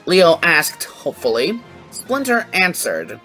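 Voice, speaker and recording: Unhurried storyteller, mid pitch, reading fan fiction with faint music behind. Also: wildly swooping pitch, sharp tone. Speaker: story narrator.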